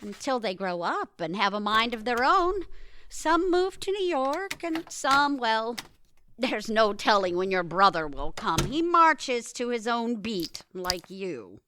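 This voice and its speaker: Bright, animated, fussy disapproving mother, played by an actress. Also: a little high, measured pace, slight background noise, heavily acted. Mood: brisk, critical. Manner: sarcastic, guilt-tripping, nitpicking. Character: fussy, disapproving mother of grown children, germ-obsessed. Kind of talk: audio theatre dialogue.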